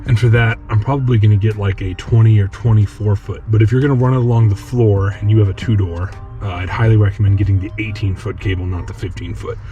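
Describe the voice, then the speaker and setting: A man's voice: lowish voice, fairly even intonation, fast, few pauses, lo-fi sound, soft background music. A man giving an informal hands-on installation walkthrough, explaining it step by step. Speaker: off-road vlogger showing an install. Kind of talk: casual hands-on DIY walkthrough. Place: at a Jeep, working on it.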